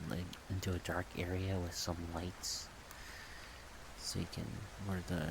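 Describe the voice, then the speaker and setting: A man rambling in a soft-spoken ASMR style, unscripted, thinking aloud in halting short phrases. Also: low voice, creaky, background noise. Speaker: ASMR video creator.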